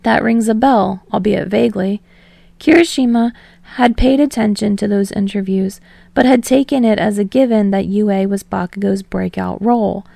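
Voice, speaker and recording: A woman narrates scripted fiction as an audiobook reader. Her voice has audible creak and sits at a medium pitch, recorded very dry. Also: normal speed, mellow, no room sound.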